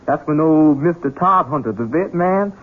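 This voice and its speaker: High-voiced folksy Southern servant. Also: male voice, expressive, moderate pace. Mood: folksy, obliging. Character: folksy, obedient rural Southern servant man. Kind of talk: radio drama acting. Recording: muffled noisy old radio broadcast.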